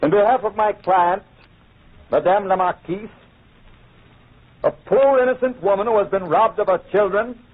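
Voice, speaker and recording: An actor playing a smug, declamatory chevalier who accuses as a courtroom barrister in an old-time radio drama. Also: male voice, sits high, normal speed, long pauses. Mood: smug accusing. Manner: declamatory, insistent. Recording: tinny, narrow old radio recording.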